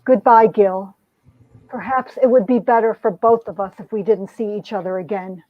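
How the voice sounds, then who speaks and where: A woman's voice: mid-range voice, fairly even intonation, moderate pace, dry recording, close to an everyday voice. A woman playing a wounded, proud young heroine, narrating and acting out a romance comic with earnest melodrama. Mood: sorrowful. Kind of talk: dramatic reading of a 1950s romance comic, narration and dialogue. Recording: dull, lo-fi sound.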